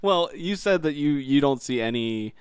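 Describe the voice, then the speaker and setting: A man's voice: fairly high pitch, animated, moderate pace, clear, resonant tone, dry recording. A man co-hosting a podcast in casual gaming chat, informal and opinionated, with a habit of complaining. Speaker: podcast co-host.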